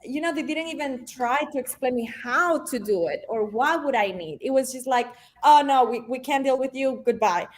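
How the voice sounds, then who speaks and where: A woman's voice: high voice, normal speed, hardly any pauses. A woman in her thirties with a Venezuelan Spanish accent, a native Spanish speaker, talking as a guest in a casual, upbeat interview, with many "honestly" and "um" fillers. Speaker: guest, realtor.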